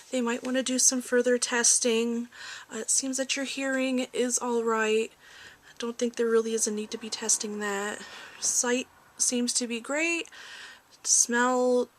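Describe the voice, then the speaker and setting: A soft-spoken woman who often slips into a whisper, playing a gentle, reassuring doctor in an ASMR medical exam roleplay. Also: on the high side. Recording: clearly noisy amateur recording.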